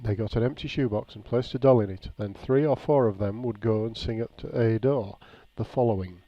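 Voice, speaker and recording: A man reading a nostalgic written memoir aloud in a measured, plain storytelling way. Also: lowish voice. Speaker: reader of a written local-history account. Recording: dull, lo-fi, echoey room.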